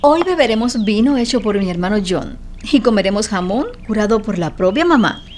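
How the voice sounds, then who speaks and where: A woman's voice: medium pitch, expressive, brisk, darkish tone, slight background noise, natural voice. An actress playing a witty, proud woman novelist of modest means in a radio drama, speaking with articulate irony. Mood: playful, frank. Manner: ironic, articulate.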